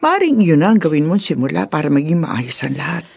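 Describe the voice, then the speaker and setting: A woman playing a gentle older mother who earnestly comforts and advises her troubled daughter in a Filipino radio drama, with a husky voice. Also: low-pitched, big pitch swings, a little fast, dull audio, exaggerated voice. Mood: consoling.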